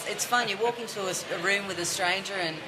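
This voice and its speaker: A woman's voice: mid-range voice, fast talker, noisy background. A woman with an Australian accent, a singer-songwriter chatting casually in an interview, upbeat and full of fillers and restarts. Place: backstage at a music venue.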